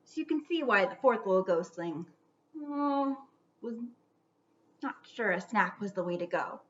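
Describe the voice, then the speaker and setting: A woman reading a children's storybook aloud theatrically, stretching out words and dropping into hushed whispers for suspense. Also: medium pitch, animated, a little slow, dull audio.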